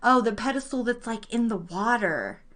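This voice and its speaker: High-pitched woman hosting trivia. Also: expressive, normal speed, fairly bright tone. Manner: informal, slangy, chatty. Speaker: live show host running a trivia quiz. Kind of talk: casual live-stream trivia chat with listeners.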